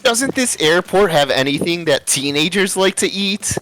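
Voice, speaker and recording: A man's voice: high voice, lively intonation, moderate pace, fairly bright tone, faint music behind. A man voicing a spoiled, snobbish eleven-year-old cartoon girl who whines. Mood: whiny, snobbish. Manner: whining.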